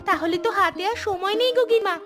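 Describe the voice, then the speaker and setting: A woman's voice: very high voice, a little fast, over music, pitched above the performer's own voice. A woman voicing a chatty, cheeky young village housemaid in a cartoon, with a chirpy, gossipy manner. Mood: eager. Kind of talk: cartoon voice acting.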